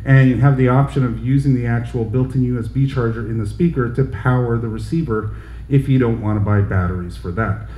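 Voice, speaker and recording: A middle-aged man talks through a gear demo in a loose, friendly sales patter. His voice is mellow, and it rings a bit in the roomy store. A faint hum of noise sits behind him. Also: medium pitch, brisk. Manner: chatty, relaxed salesman patter with fillers. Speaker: store product demonstrator. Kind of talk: casual product demo. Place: large indoor audio gear shop. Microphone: headset and handheld mics, switched. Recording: roomy shop sound, faint background noise.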